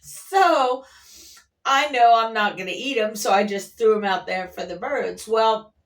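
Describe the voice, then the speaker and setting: A woman's voice: a little high, measured pace, distant mic. A woman vlogger telling rambling, casual stories in a chatty, folksy and playful way. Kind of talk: casual rambling storytelling to camera. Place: at an airport workplace.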